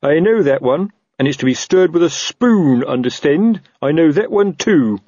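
A man's voice: mid-range voice, sing-song, moderate pace, a little mellow, slightly rough, no echo, dull audio, voice pushed up. Man playing a blustering, pompous old police captain. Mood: bossy. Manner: snapping, blustering, officious.

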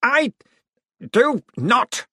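A man's voice: extremely high pitch, sing-song, drawn-out words, fairly bright tone, breathy edge, no room sound, clean studio sound, voice pushed up, heavily acted. A man voicing a furious, pompous rich man in an audiobook, indignant and declaiming.

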